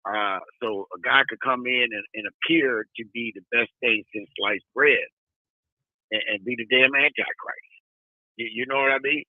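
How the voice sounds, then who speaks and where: A man speaks over a narrow, radio-like call line with no room sound. His voice is medium in pitch, and he gives his opinions casually, in emphatic, slangy, plain-spoken talk. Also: normal speed, no room sound. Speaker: guest on a call-in talk show.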